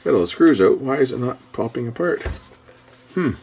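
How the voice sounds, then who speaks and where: A man giving casual hands-on repair commentary, thinking aloud and hesitating as he works, with a gravelly voice. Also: mid-range voice, expressive, fairly quick, a little mellow. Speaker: host repairing electronics. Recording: background noise with handling clicks.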